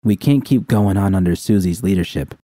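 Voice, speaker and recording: A man's voice: low-pitched, brisk, mellow. A man voicing a tough, worried woman gang member, slangy and conspiratorial, in audiobook dialogue. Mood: frustrated.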